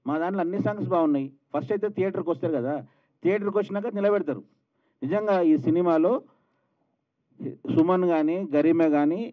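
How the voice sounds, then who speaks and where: A man's voice: fairly high pitch, phone-quality sound. A man with a Telangana Telugu accent, a film producer insistently and confidently answering media questions at a press event.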